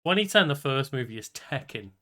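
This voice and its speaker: A man's voice: medium pitch, sing-song, a little fast, bright, forceful tone. A man co-hosting a podcast in casual, conversational banter. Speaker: podcast co-host.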